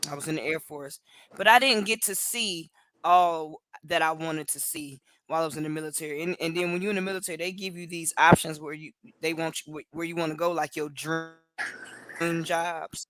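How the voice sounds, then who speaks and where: A woman from Cleveland co-hosting a casual livestream chat from home over a video call, animated and laughing often. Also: sits low, brisk. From Cleveland, Ohio. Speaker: co-host.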